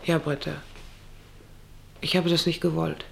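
A woman's voice: low voice, flat delivery, unhurried, creaky, slight background noise, exaggerated voice, poor audio. A woman acting an anxious, pleading rancher's wife and mother in a German radio drama, hesitant and fretful. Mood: uneasy, apologetic. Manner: hesitant, faltering, fretful questioning.

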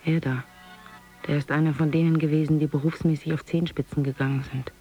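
A man's voice: on the high side, little pitch movement, quick pace, mellow, faint music behind, lightly acted. An actor playing a detached male know-it-all who lectures like an expert in a radio play. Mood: musing, mocking. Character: detached male commentator, pseudo-expert on bodies and minds.

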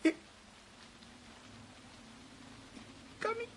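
A man's voice: quick pace, natural voice. An actor playing a bitter, vengeful male writer in a Dutch radio play, with theatrical, intense delivery. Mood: panicked threat. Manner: theatrical, intense monologue swinging from hushed to shouting. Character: bitter, vengeful male writer turned murderous, domineered by partner. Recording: noisy old radio play recording.

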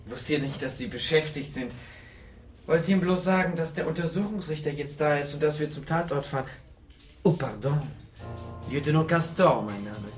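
Meek young-officer role male actor, higher register, roomy and off-mic, radio crime drama. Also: moderate pace, soft background music, close to an everyday voice. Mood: apologetic. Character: meek, apologetic junior police lieutenant. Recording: echoey room, distant from mic.

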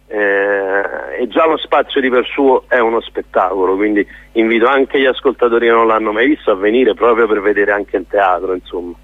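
A man's voice: medium pitch, dry recording. A man speaking as a theatre director in a spontaneous radio interview, earnest and often hesitant as he searches for words. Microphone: telephone. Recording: narrow tinny phone line.

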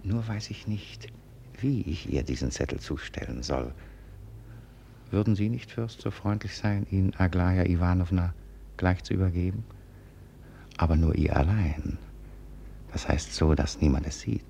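Deep gravelly, agitated schemer. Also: male voice, warm tone, lo-fi sound. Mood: furtive, awkward. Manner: tense, halting, insistent. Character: agitated, scheming, irritable male secretary. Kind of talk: 1950s German radio drama acting. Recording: noisy 1950s radio play recording.

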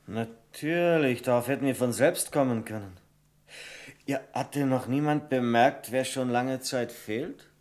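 A man's voice: mid-range voice, a little slow, darkish tone, natural voice. A man playing an earnest bank employee in a German radio crime drama. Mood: realising.

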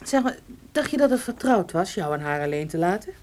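An actress playing a detective's flirtatious, teasing companion in a radio play. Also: female voice, on the low side, sing-song, a little fast, darkish tone. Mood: playful, teasing. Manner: playful, teasing. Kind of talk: radio drama dialogue.

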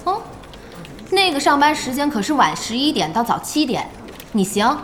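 High female voice, animated intonation, young cafe owner, brisk, bossy, direct, audio drama. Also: slight background noise. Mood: chatty, probing. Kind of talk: Chinese audio drama acting. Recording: music and crowd sounds behind.

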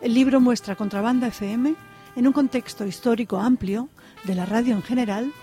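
A woman's voice: on the high side, quick pace, warm tone, soft background music. A woman announcer narrating a scripted radio promo.

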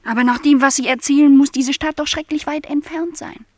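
A lonely young housemaid, played by an actress in a radio play. Her voice is somewhat high, with a wistful, doubting and plaintive way of questioning. The recording is dry, with hardly any room around her. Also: steady tone, fairly quick, no echo, close to an everyday voice. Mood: hesitant, curious. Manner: plaintive, questioning, formal wording. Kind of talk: literary radio play dialogue.

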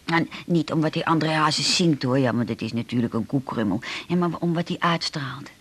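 An actress playing a scatterbrained, lonely woman in her forties in a radio drama, with a husky voice and a rambling, self-deprecating, colloquial way of talking. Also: sits low, brisk. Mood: dreamy, chatty. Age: 40s. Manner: rambling, colloquial, tag questions. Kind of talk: naturalistic radio drama dialogue.

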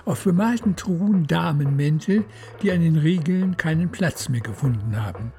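A woman's voice: low-pitched, animated, moderate pace, noisy background. A woman narrating a radio play in scripted literary prose.

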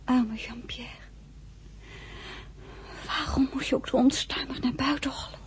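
A woman's voice: high-pitched, steady tone, measured pace, whispered. An actress playing a sorrowful young woman narrating her own life in a dramatic radio play. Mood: pitying, distressed. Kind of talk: dramatic first-person narration.

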